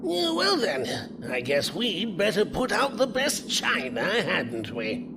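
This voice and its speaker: A man's voice: sits high, wildly swooping pitch, slow, creaky, heavy background noise, full character voice. An actor playing a dry-witted, avuncular older male professor in an audio drama, with an old-fashioned way of talking. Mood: wry, uneasy. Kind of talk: dramatic character acting. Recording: loud music bed behind voice.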